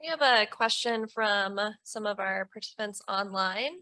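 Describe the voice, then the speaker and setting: Bright-voiced woman relaying online questions. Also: mid-range voice, moderate pace.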